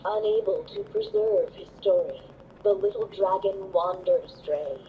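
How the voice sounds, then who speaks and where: A distant, slightly muffled capture of a solemn storybook narrator in a game. The delivery is lyrical and measured, with a wide swing in pitch and a mystical, theatrical air. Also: female voice, wildly swooping pitch, a little hiss or hum. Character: storybook narrator of a fairy tale, solemn and enigmatic.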